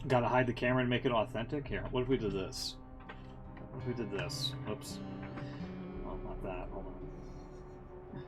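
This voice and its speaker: A man talks off the cuff as a game streamer, with music playing behind him. His pitch is average, and he drops wry, muttered asides with some swearing. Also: steady tone, normal speed, long pauses. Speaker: game livestreamer. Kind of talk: casual stream chat and improvised reading aloud.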